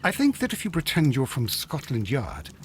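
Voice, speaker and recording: Lively gentleman sidekick. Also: mid-range voice, normal speed, a little hiss or hum. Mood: helpful. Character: polite gentleman companion of a detective, adult man. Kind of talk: video game cutscene acting.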